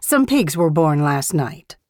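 Firm, expressive farm mother. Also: low-pitched, slow pace, dry recording, studio quality, heavily acted. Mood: matter-of-fact. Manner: firm, matter-of-fact. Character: practical, matter-of-fact farm mother. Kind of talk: full-cast audiobook acting.

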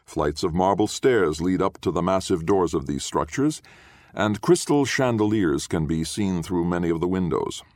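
A man reading literary prose as an audiobook narrator, in a measured, formal and deliberate manner. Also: sits low, lively intonation, a little mellow, dry recording, studio quality.

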